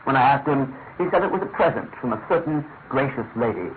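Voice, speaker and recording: A man plays a genteel British gentleman recounting a mystery as radio drama narration. The delivery is formal and measured at a medium pitch, on a thin, faintly hissy recording. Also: very animated, lightly acted. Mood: reflective. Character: genteel English gentleman narrator, detective's loyal friend. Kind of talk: scripted first-person radio drama narration. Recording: tinny, narrow-band old radio, faint hiss.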